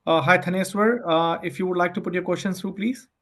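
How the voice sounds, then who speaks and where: A man with a slightly high voice leads an online webinar as an adviser. He explains things step by step in polite, halting phrases, often restarting as he goes. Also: fairly even intonation, a bit bright. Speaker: immigration adviser hosting a webinar.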